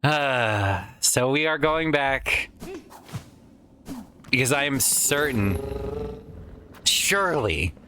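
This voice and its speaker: A man's voice: mid-range voice, animated, very slow, long pauses, sharp tone, a little hiss or hum. A man casually commenting while playing a video game, chatty and rambling with some swearing, his voice tired from a lot of recent use. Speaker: let's play host.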